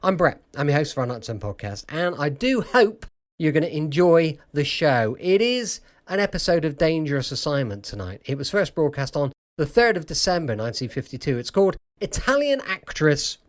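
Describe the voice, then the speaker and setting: A man's voice: a little high, very animated, normal speed. A man from the United Kingdom hosting a podcast, introducing an old radio episode in a casual, chatty way. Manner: chatty, friendly, a few restarts. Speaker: podcast host.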